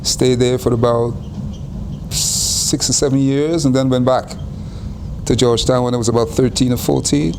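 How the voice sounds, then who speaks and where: A man's voice: mid-range voice, fairly even intonation, creaky, background noise. Older Guyana-born man recalling his childhood. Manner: unhurried, repeats and restarts phrases. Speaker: interviewee. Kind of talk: reflective life-story interview.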